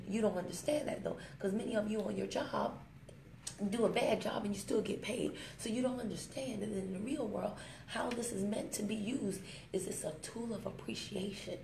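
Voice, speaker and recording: A woman giving a motivational vlog talk on her smartphone, emphatic and preachy, slowing down to stress her points and fond of little rhymes. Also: mid-range voice, gravelly. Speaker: network marketing vlogger. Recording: echoey room with faint ambient noise.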